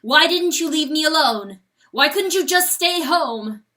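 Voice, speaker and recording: A woman's voice: sits high, animated, unhurried, sharp tone, voice pushed up, heavily acted. A woman voicing a tormented, proud male rooster in a storybook, crying out with desperate, fierce intensity. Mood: fierce, accusing. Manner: shrieking, crying out.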